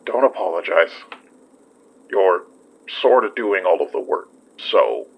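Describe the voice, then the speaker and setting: An actor playing a relaxed, protective young boyfriend in a horror audio drama, talking in a playful, reassuring way. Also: male voice, low voice, a little slow, vocal fry, husky, full character voice. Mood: gentle. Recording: slightly muffled sound.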